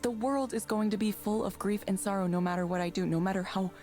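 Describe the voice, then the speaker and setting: A woman's voice: mid-range voice, quick pace, a little mellow, soft background music, close to an everyday voice. A woman voicing a troubled, self-doubting engineer in a visual novel game, earnest, downcast and introspective. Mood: sad, despairing.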